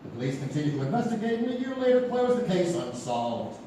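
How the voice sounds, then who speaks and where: A man's voice: sits high, big pitch swings, quick pace, dark tone, far from the mic, slight background noise. A man playing a storyteller who narrates an old murder mystery on stage, knowing and theatrical, with rhetorical questions. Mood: knowing, suspenseful. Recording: echoey live theatre recording from afar.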